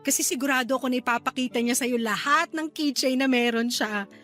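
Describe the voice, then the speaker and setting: An actress playing a devoted, proud and excitable aunt in an expressive radio drama style. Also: female voice, sits high, a little fast, soft background music. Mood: excited, fond. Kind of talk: Tagalog radio drama acting.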